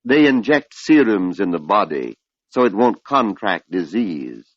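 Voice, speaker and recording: An older man giving a measured, oratorical spiritual lecture on an old radio broadcast, posing rhetorical questions. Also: on the low side, sing-song, dry recording. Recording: dull lo-fi vintage radio recording.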